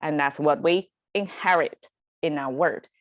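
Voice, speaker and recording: A woman's voice: sits low, unhurried, no room sound, clean studio sound. A woman whose first language is Chinese, hosting a casual online lesson on Chinese words in a chatty, conversational way. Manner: chatty and conversational, with fillers and small laughs. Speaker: language teacher and host. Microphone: video call setup.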